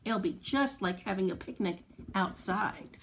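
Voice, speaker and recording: A woman's voice: mid-range voice, unhurried, a little hiss or hum. A woman reading a picture book aloud to young children, expressively, with playful asides, questions and little sound effects. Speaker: children's storyteller.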